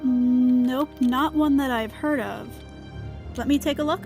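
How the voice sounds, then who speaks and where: A woman's voice: high voice, measured pace, music in the background. A woman playing a keen, easygoing wildlife agent in an audio drama, casual and helpful.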